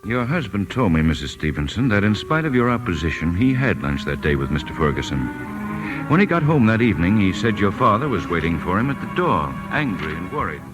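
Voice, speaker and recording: An actor playing a measured, formal physician in old-time radio drama style, speaking deliberately. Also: male voice, on the low side, sing-song. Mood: formal, grave. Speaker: doctor. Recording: old radio recording with music behind. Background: music.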